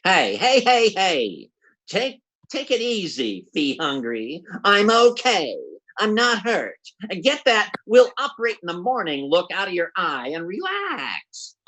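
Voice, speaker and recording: A man's voice: sits high, wildly swooping pitch, slow, pushed and bright, heavily acted. Man playing blustering, stammering radio-comedy husband. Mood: indignant. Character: blustering, boastful, absent-minded husband with big schemes. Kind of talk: classic 1940s radio comedy acting.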